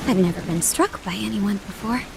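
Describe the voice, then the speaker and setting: A woman playing a gentle, earnest young princess in a game cutscene, speaking in a measured, formal and sincere way. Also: medium pitch, creaky, heavy background noise, close to an everyday voice. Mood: solemn resolve. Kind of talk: dramatic video game cutscene acting.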